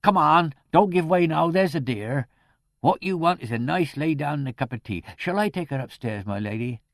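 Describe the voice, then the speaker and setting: A man voicing a gossipy, ghoulish country charwoman in an audiobook, with a rural English accent and a loud, rambling, melodramatic manner. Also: on the high side, expressive, moderate pace, dry recording, studio quality, pitched above the performer's own voice. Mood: soothing.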